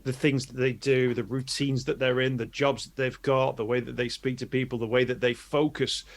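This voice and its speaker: A man's voice: mid-range voice, little pitch movement, moderate pace. A man hosting an interview, asking long questions that ramble with many restarts. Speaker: podcast host. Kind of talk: interview talk.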